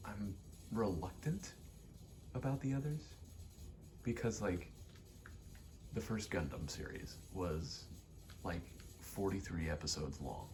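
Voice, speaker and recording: A young adult man in a quiet, casual ASMR-style ramble, speaking candidly and hesitantly, often trailing off. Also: sits low, gravelly, slight background noise. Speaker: video maker.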